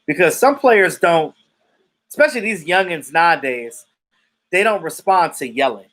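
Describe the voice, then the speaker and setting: A man in his thirties hosts a live sports stream and talks to his viewers in a loose, unscripted way. He is blunt and outspoken and swears freely, and his voice sits a little high for a man. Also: lively intonation, normal speed, fairly bright tone. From Jamaican family roots. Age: 30s. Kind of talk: casual sports chat reading viewer comments.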